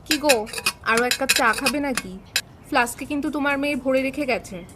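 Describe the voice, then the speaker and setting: A woman's voice: sits high, fairly quick, heavy background noise, natural voice. An actress playing a feisty older wife in an audio drama, nagging her husband with affectionate sarcasm. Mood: sarcastic.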